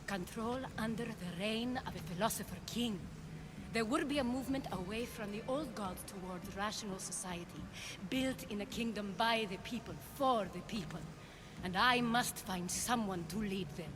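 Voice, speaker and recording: An actress playing a poised, ambitious female former cult leader in a video game drama, speaking in a measured, persuasive way. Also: mid-range voice, background noise, close to an everyday voice. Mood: solemn, visionary.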